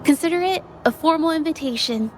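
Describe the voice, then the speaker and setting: An actress voicing an earnest young female painter, gentle and reflective as she investigates. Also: high-pitched, brisk, slight background noise, lightly acted. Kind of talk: video game voice acting.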